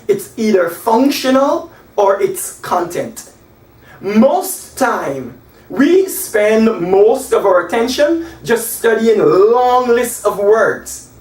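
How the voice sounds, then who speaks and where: Animated man teaching English. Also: sits high. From Guyana. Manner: animated, gesturing, slow emphatic example sentences. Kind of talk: classroom lesson. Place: small room by a whiteboard. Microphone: camera across the room. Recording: echoey room, voice from a distance.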